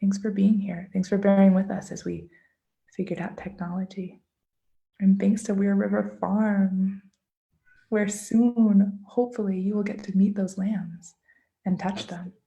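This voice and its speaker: A woman presenting as an author in an informal live online talk, speaking gently and unhurriedly with pauses for emphasis over a video call. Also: medium pitch, little pitch movement, vocal fry, dull audio. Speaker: children's author, guest presenter.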